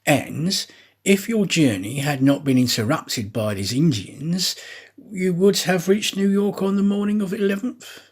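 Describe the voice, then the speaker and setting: Man playing an intent, probing detective, pitched up. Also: measured pace, husky. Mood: intent questioning. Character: intent, probing male detective pressing a proposal.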